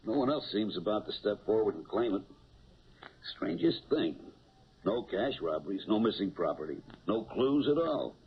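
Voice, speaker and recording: Thin noisy old radio broadcast, shrewd police lieutenant, low voice, steady, seventies drama acting. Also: male voice, moderate pace, breathy edge, highs cut off, exaggerated voice. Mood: weary, chatty.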